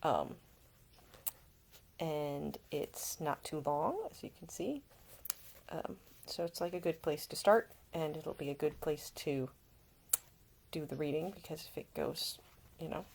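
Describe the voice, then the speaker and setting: A woman's voice: low voice, unhurried, gravelly, pauses often, a little mellow, a little hiss or hum. A woman author presenting and reading her own fiction at home in an informal storytelling style. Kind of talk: informal introduction, then expressive audiobook narration.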